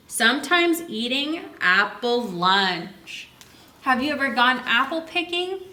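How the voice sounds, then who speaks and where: Female children's storyteller, fairly high and slow-paced, sing-song and exclaiming, recorded with noticeable room echo. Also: bright, forceful tone. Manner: sing-song, exclaiming, asks questions and answers them. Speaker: children's librarian hosting story time. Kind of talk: read-aloud and explaining to young children.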